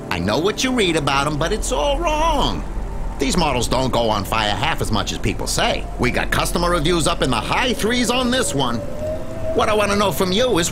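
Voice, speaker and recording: A man playing a slick, pushy used-vehicle salesman with glib patter in a video game. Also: fairly high pitch.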